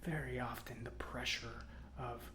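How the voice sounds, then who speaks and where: A man playing a gentle, reassuring robot mechanic in a soft-spoken ASMR roleplay, talking in an unhurried, slightly hesitant way. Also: mid-range voice, steady tone, reverberant, slight background noise. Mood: reassuring, casual.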